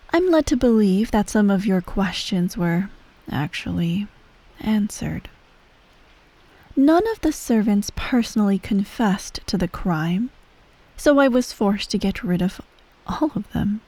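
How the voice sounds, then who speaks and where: A woman playing a menacing, coolly doting empress mother in soft, breathy ASMR roleplay, measured and formal, often near a whisper. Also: mid-range voice, lively intonation. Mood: quietly menacing. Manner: measured, formal, veiled threats, breathy sighs.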